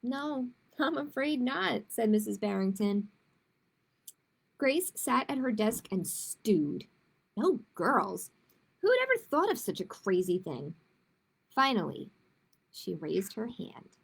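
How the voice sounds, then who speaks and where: A woman's voice: mid-range voice, very animated, slow pace, creaky, long pauses, breathy edge, rough recording. A woman hosting a children's story time, chatty and emphatic, repeating phrases as she talks and reads aloud. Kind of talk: casual chat with viewers and read-aloud storytelling.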